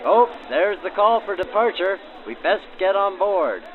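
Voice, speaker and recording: Man, high voice, radio host and interviewer, 1940s radio manner, narrow vintage radio filter. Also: big pitch swings, unhurried. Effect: aged 1940s radio filter. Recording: narrow, lo-fi vintage radio sound with faint music.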